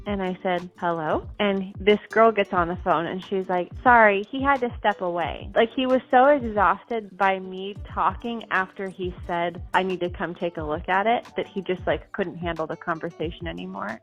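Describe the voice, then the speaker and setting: Chatty woman on a muffled phone call. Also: fairly low pitch. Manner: chatty, informal, animated storytelling with acted-out quotes. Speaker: customer calling to book a job. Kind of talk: casual phone conversation. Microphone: telephone. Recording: muffled phone call recording, music behind.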